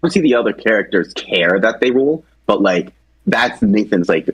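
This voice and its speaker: Man, very low voice with lively movement, informal co-presenter in a casual character chat. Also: normal speed, slightly rough, no room sound, rough recording.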